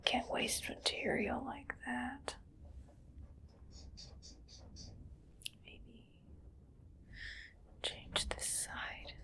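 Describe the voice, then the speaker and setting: A woman playing an eerily calm, gleefully morbid mad scientist in an ASMR roleplay, speaking in a hushed, breathy, musing whisper. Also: high-pitched. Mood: musing, absorbed. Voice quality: breathy whisper with soft creaky voicing. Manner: slow, hushed, musing to herself.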